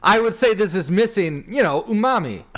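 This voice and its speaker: A man's voice: high voice, expressive, normal speed, fairly bright tone. An American man talking casually and bluntly as a podcast co-host. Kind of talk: casual group chat.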